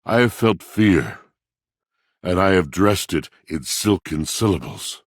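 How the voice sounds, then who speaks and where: An actor playing a brooding, melancholy, self-pitying machine in a video game, speaking florid, poetic lines in a grand theatrical manner with a gravelly voice. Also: male voice, sits low, big pitch swings, slow pace, dry recording, clean studio sound, heavily acted. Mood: melancholy, musing. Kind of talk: video game character acting.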